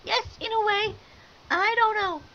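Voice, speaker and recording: A man playing an excitable, stubborn little girl with a squeaky childlike voice, in 1950s radio drama style. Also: measured pace, heavily acted. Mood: eager. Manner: eager, impatient, breathless child's chatter. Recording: dull lo-fi radio recording, faint hiss.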